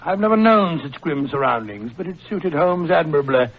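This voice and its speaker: A blustery middle-aged British gentleman doctor played by a man in a 1940s radio drama. His voice is high for a man and easily rattled, heard through a dull, muffled old broadcast recording. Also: animated, normal speed, natural voice. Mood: grave reminiscing. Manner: blustery, old-fashioned storytelling.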